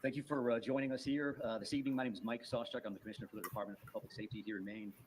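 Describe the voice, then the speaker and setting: A man speaking as a public safety official, giving a formal press briefing in measured officialese. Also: mid-range voice, fairly even intonation, a little hiss or hum.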